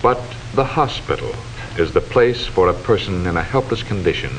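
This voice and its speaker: An American man reading scripted 1940s educational film narration in a formal, measured, instructive manner, on an old film soundtrack. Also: low-pitched, lively intonation, slight background noise, low-quality recording.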